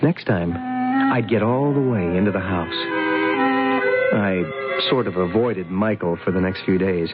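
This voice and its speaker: An actor playing a smitten, scheming suitor in a vintage radio drama, with an intense, ingratiating and hesitant manner. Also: male voice, low-pitched, a little slow, long pauses, over loud music. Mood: sly, confiding. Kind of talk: vintage radio drama acting and first-person narration. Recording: tinny band-limited old radio recording.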